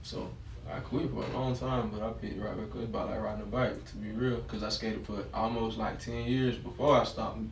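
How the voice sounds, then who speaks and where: Fast-talking young man, low voice. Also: fairly even intonation, creaky. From East Dublin, southern US. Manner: laid-back slangy storytelling. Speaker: guest rapper and producer. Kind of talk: casual interview chat. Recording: roomy, distant mic, noisy background.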